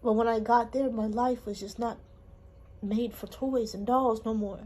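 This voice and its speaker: A woman from Hawaii, telling her life story in a halting, emotional way with pauses. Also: fairly high pitch, fairly even intonation, warm tone, slightly rough. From Honolulu, Hawaii. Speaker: interviewee. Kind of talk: personal testimony.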